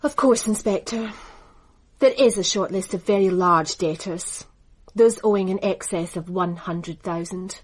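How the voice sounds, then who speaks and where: A woman playing a cool, husky businesswoman. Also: medium pitch, measured pace, close to an everyday voice, low-quality recording. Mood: cool, composed. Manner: cool, composed, precise. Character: cool, efficient, glamorous young woman. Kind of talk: radio drama acting.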